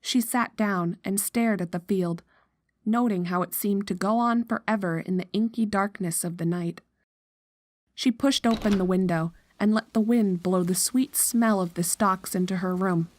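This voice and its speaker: A woman's voice: medium pitch, normal speed, no room sound. A woman narrator reading a scripted horror story.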